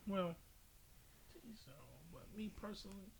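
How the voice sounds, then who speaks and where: A man's voice: high voice, fairly even intonation, measured pace, a little hiss or hum. A man hosting a podcast in casual, opinionated chat with a blunt way of talking. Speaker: podcast host.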